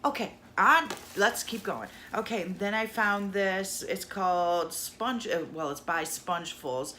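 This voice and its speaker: A woman's voice: mid-range voice, measured pace, bright, forceful tone. A woman talking through a shopping haul in a casual, gushing way, losing her voice to a cold. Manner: chatty, gushing over cheap finds. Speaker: haul vlogger. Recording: audible noise in the background.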